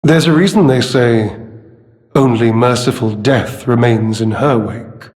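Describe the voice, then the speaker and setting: A suave, condescending gentleman of a video game, acted by a man, speaks in a medium-pitched male voice. He draws out his courtesies with pompous, theatrical affectation. The words ring in a long, hall-like reverb. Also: unhurried, natural voice. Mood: coy, probing.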